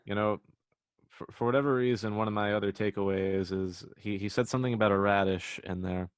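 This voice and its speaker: A man's voice: lowish voice, fairly even intonation, a little fast, clear, resonant tone, dry recording. A young man co-hosting a podcast, chatting with dry, wry humor. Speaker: podcast co-host. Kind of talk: casual chat.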